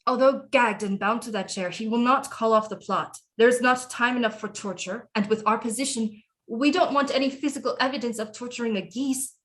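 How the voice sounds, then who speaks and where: A woman's voice: a little high, a bit bright, lightly acted. Woman playing an imperious, scheming queen mother. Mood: urgent, grim. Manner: imperious, formal, deliberate diction. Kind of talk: theatrical audio drama acting.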